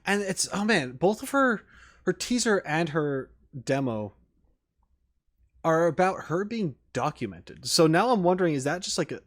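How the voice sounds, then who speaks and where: A man's voice: fairly high pitch, expressive, moderate pace. A man giving casual, off-the-cuff commentary as a reaction streamer, rambling through his thoughts with many asides. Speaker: reaction video host and streamer.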